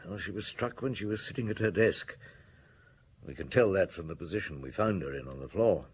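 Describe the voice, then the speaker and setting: An actor playing a weary, middle-aged male chief inspector in a radio drama, speaking in a measured, understated, reflective way with a husky voice. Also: low-pitched. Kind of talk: radio drama narration and dialogue.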